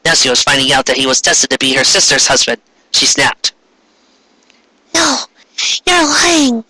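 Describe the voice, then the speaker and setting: A man's voice: mid-range voice, steady tone, fast talker, pushed and bright, dry recording, poor audio. A man reading fan fiction aloud as comic, dramatic narration in a theatrical manner. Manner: theatrical, performs many character voices.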